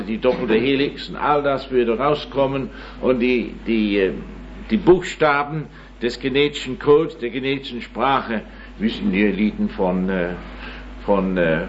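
A very old man in his nineties lectures slowly and unhurriedly, with plenty of pauses and hesitations. He is self-mocking and rambling, forever adding tag questions. The recording sounds dull, with a faint hum underneath. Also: mid-range voice. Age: 90s. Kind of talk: informal lecture to an audience.

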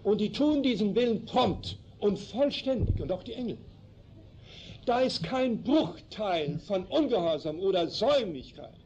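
A man from Canada whose first language is German, preaching a slow, emphatic sermon in a chapel that explains Bible verses. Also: high-pitched, animated, a little mellow. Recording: dull lo-fi recording, faint noise.

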